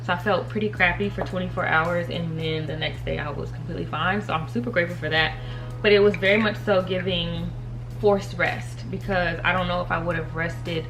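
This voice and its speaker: A woman's voice: on the low side, fairly even intonation, a little fast, vocal fry, over music, low-quality recording. A thirty-year-old woman chatting casually while doing her makeup, giggling often and talking straight to her viewers. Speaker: beauty and faith vlogger. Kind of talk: casual makeup tutorial chat.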